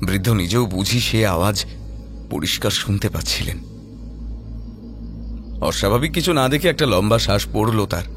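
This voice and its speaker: A man narrating a scripted horror audio story in a suspenseful, dramatic manner. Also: fairly low pitch.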